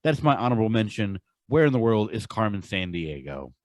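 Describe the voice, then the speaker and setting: A man's voice: fairly low pitch, very animated, fairly quick, no room sound, studio quality. A man co-hosting a podcast in casual chat, informal and slangy with strong opinions. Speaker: podcast co-host.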